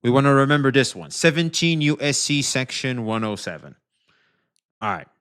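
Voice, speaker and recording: Man, casual reaction co-host, joking, bright tone, mid pitch. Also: expressive, normal speed, clean tone. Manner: joking, hesitant with fillers.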